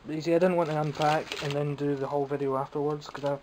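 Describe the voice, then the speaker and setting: A man's voice: fairly high pitch, level tone, fast talker, background noise. A young Scottish man chatting casually and wryly about his toy haul from behind the camera, a bit phlegmy and coughing now and then. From Scotland. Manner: wry, rambling and self-mocking. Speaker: toy collector vlogger. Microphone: camera mic, speaking from behind the camera.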